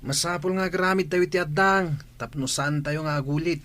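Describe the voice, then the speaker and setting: A man's voice: a little high, steady tone, normal speed, fairly bright tone, close to an everyday voice. A man playing a carefree, scheming teenage schoolboy in a radio drama, cajoling in a casual way.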